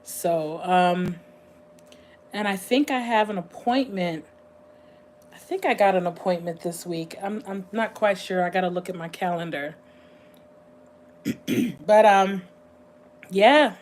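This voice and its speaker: A woman hosting a casual livestream, chatting in a rambling, meandering way, her voice slightly hoarse and going scratchy. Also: medium pitch, a little mellow. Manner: rambling, chatty, thinks aloud with frequent pauses. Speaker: livestream host.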